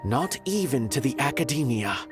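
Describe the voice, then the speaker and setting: An actor playing a lofty, melancholy art connoisseur in a video game, speaking in florid, formal phrases. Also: male voice, mid-range voice, sing-song, moderate pace, lightly acted. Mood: solemn, encouraging. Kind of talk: dramatic video game voice acting. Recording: music behind the voice.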